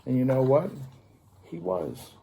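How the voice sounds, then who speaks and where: A man reading a picture book aloud to children as a storyteller, expressively and with dramatic pauses. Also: medium pitch, huge pitch swings, gravelly, warm tone, slight background noise.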